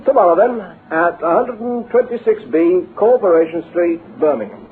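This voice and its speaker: Tinny old-radio British businessman, lively and persuasive. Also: sits high. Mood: indignant, goading. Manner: brisk, persuasive, avuncular. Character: brisk, flattering, smooth-talking businessman recruiting a clerk. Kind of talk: old-time radio drama acting. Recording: tinny band-limited old radio recording, hissy.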